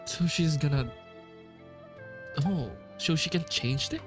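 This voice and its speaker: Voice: mid-range voice, takes it slowly, over music. A game streamer giving casual playthrough commentary, hesitant and musing aloud.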